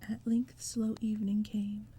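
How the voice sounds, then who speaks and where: A woman reading poetry in a soft, breathy ASMR whisper, with a gentle, hushed storytelling manner. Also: medium pitch, flat delivery, a little slow. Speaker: audiobook reader. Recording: faint ambient crackling fire and forest sounds. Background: crackling fire, forest ambience.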